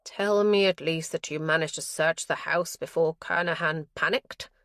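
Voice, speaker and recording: A ruthless former police chief turned crime boss, as a woman narrator puts him on, giving clipped orders in a low, cold voice. The audiobook reading is close and dry, with no room around it. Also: normal speed, a bit bright, no echo. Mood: impatient.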